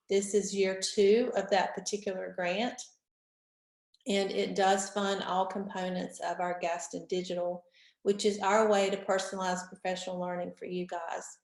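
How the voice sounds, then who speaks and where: A woman, stuffy from a cold, leading an online training session for teachers in a clear, organized, instructive way. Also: medium pitch. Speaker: instructional technology facilitator. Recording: roomy echo.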